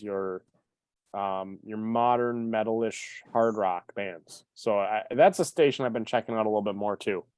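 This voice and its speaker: A man's voice: mid-range voice, darkish tone, dry recording. Hesitant man on a dry mic. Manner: thoughtful, hesitant, filler-heavy. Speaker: podcast panelist. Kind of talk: casual chat.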